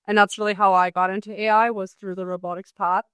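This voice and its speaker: A woman's voice: mid-range voice, little pitch movement, quick pace, fairly bright tone, clean tone, no echo, clean studio sound. A young woman from Spain, a researcher guest introducing herself. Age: young adult. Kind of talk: self-introduction.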